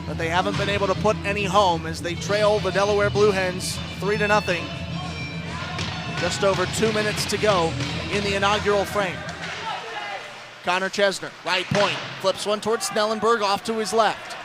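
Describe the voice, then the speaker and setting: High-pitched American hockey play-by-play man. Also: fairly even intonation, moderate pace, loud music behind the voice. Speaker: play-by-play announcer. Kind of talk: live hockey radio play-by-play commentary. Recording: clear rink noise behind commentary. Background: ice hockey game noise.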